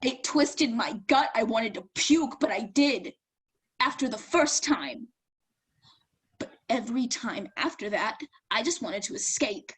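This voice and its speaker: A woman's voice: a little high, expressive, a little slow, husky. An actress in a staged drama reading, playing an anguished, defiant young woman trying to escape her abusive brother, pained and earnest. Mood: pained, confessional. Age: young adult.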